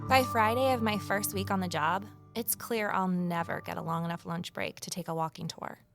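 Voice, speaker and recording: A woman's voice: fairly low pitch, fairly even intonation, normal speed, gravelly, over music. An actress playing a wry, curious office worker who narrates her own story in an audio drama. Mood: wry narrating. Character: wry, curious female former journalist, new office assistant. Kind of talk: audio drama, first-person narration and dialogue.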